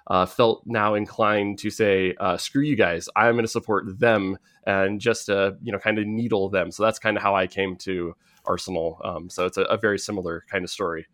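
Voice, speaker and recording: A man from the Bay Area with an American accent, hosting a casual sports podcast in a halting, rambling, filler-heavy way. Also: lowish voice, fairly even intonation, a bit bright, no room sound, clean studio sound. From San Francisco Bay Area, United States. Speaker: podcast host.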